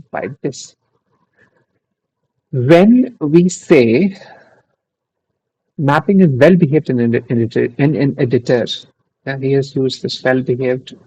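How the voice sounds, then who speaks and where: A man's voice: mid-range voice, warm tone, slightly rough, no room sound, highs cut off. A young man who is not a native English speaker, reading an article aloud on a live stream and musing between lines in a rambling, easily distracted way. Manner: rambling, self-interrupting, distracted asides. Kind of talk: thinking aloud while reading.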